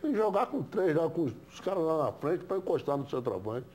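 Animated elderly Brazilian man debating sport. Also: a little high, a little fast. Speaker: sports panelist, former footballer and coach. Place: TV studio.

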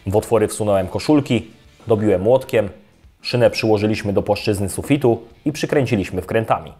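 A young adult man giving a calm, scripted step-by-step voice-over for an electrical installation video. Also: on the low side, a little fast. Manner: calm, even, step-by-step instructional delivery. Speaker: electrician presenting a how-to video. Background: soft guitar music.

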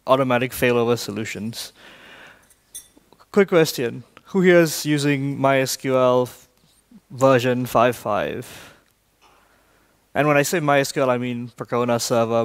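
Man from Malaysia giving a conference talk. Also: medium pitch. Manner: brisk, quizzes the audience often. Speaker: conference speaker. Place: large conference hall.

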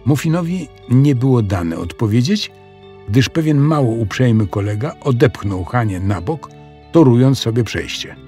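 A man's voice: medium pitch, expressive, moderate pace, dark tone. A man narrating a scripted children's story as a storyteller. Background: music.

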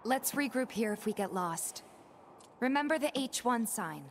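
An actress playing a young, caring female resistance fighter in a video game, speaking gently and earnestly. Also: fairly high pitch, lively intonation, normal speed, a little hiss or hum. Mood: concerned.

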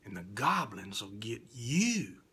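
Theatrical male storyteller, wildly expressive pitch. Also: takes it slowly, a little hiss or hum. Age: middle-aged. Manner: expressive, spooky, swinging between hushed whispers and loud cries. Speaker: storyteller reading children's poetry. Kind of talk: dramatic theatrical poetry recitation for children.

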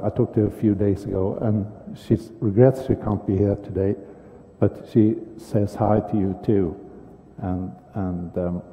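An older man with a Swedish accent giving a conference lecture on a stage, halting, with many fillers and restarts. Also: on the low side, mellow. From Sweden. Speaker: dentist giving a conference talk. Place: large conference hall stage. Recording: dull sound with long hall reverb.

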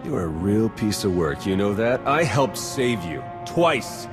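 A man's voice: fairly low pitch, big pitch swings, a little slow, over music. An actor playing a blunt male spy with a dry, sarcastic way of talking.

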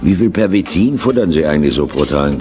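An irritable field surgeon in a radio drama. His voice is low, gruff and brusque, full of weary cynicism. There is clear noise and clattering behind him. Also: expressive, brisk. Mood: grim sarcasm.

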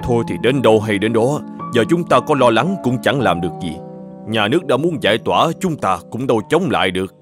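A kindly older male eatery owner from an animated moral tale, played by a man. He speaks in a middle-pitched voice with a calm, reassuring manner. Light music sits underneath. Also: brisk, close to an everyday voice. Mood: resigned, calm.

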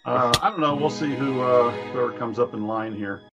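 An older man runs a video call, his patter genial but bossy. The webcam sound is muffled and lo-fi, and loud music plays underneath. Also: mid-range voice, normal speed, vocal fry. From Las Vegas, Nevada. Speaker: meeting host. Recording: dull lo-fi webcam audio.

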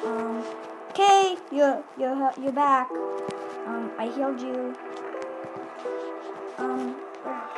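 A woman's voice: sits high, slow pace, long pauses, loud music behind the voice, poor audio. A woman giving casual, playful, chatty commentary during a video game roleplay. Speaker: gaming video host.

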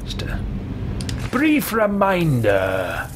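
A man's voice: sits high, huge pitch swings, noisy background, full character voice. Man playing a pompous evil sorcerer, wildly swooping delivery. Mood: smug, theatrical. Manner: pompous, theatrical, slow deliberate phrasing. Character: haughty, megalomaniac male evil sorcerer, contemptuous and grandiose. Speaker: streamer. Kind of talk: in-character video game roleplay commentary. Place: small home room with shelves, indoors. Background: video game ambience.

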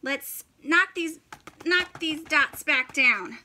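Casting: woman in her thirties, children's story-time reader, very high lively voice, encouraging and exclaiming, noisy home-style recording. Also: takes it slowly. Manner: animated, exclaiming, encouraging, with audible gasps. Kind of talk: interactive children's picture book read-aloud. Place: indoors, in front of a fabric backdrop.

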